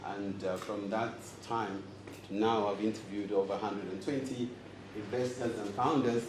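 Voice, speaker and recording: Zambian guy talking to a live crowd, lowish voice, jokes a lot, echoey room with noise behind. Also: fairly even intonation, normal speed. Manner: joking and engaging, quizzes the crowd. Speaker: host and speaker. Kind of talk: live talk to an audience. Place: event room with audience.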